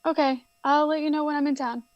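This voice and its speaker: Actress as a high-voiced, subdued young woman. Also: steady tone, quick pace, no echo, dull audio, natural voice. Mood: casual. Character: weary young married daughter confiding in her mother. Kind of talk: radio drama acting, naturalistic.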